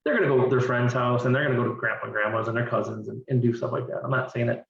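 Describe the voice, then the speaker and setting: A man's voice: medium pitch, steady tone, rapid-fire, vocal fry, a bit bright. A man in his forties, a divorced father talking earnestly as an interview guest and often repeating words as he thinks. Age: 40s. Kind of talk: candid conversational interview. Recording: distant, roomy and dull-sounding.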